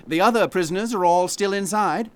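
A man's voice: high voice, brisk, smooth, close to an everyday voice. A man playing a formal, courteous radio news anchor in an old-time broadcast drama, reading bulletins and interviewing. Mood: formal, probing.

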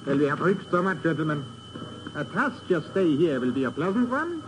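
An actor playing a grave, aloof, mysterious European gentleman, formal and measured, in 1950s radio drama style. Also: on the high side, sing-song. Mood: ominous. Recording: noisy old 1950s radio recording.

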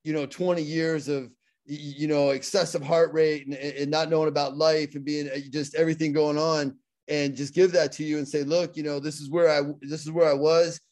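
A man's voice: a little high, flat delivery, fairly quick, darkish tone. A middle-aged man with a Pittsburgh accent, a guest speaker talking earnestly in a casual interview and often repeating and restarting his words.

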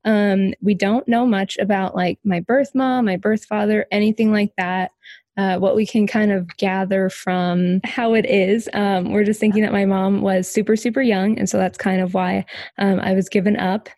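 A woman's voice: mid-range voice, fairly even intonation, normal speed, a little mellow, no room sound. Young woman, podcast guest, casual and chatty with lots of ums, dry close recording. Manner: casual, many ums, light laughs. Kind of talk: personal story in an interview.

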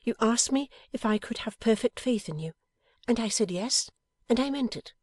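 A devoted young wife in a storybook reading , acted out by a man. The voice sits high and turns breathy with feeling. Every phrase is tender, earnest and fiercely loyal, recorded close and dry. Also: lively intonation, normal speed, darkish tone, no room sound.

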